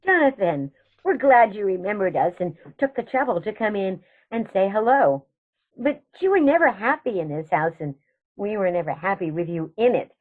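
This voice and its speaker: A woman's voice: mid-range voice, big pitch swings, normal speed, narrow, tinny audio. A woman playing a prim elderly aunt, genteel but firm and easily flustered, in a radio stage comedy with thin, band-limited sound.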